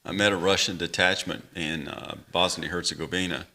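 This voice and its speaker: A man's voice: low voice, fairly even intonation, brisk, sharp tone. A man, a military veteran and guest, chatting casually and sharing his own memories. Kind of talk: casual chat.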